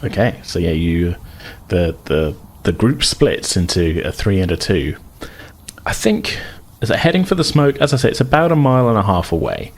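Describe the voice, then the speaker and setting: A man improvising narration as game master of a tabletop role-playing game, halting and rephrasing as he describes. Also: low-pitched, big pitch swings.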